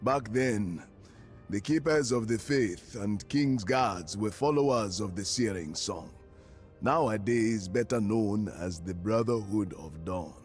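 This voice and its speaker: A man's voice: fairly low pitch, takes it slowly, a little mellow, soft background music. A man voicing a shrewd, stern commander in a fantasy game, with a formal, authoritative manner. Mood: dry, measured. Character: stern, shrewd male commander of a threatened city.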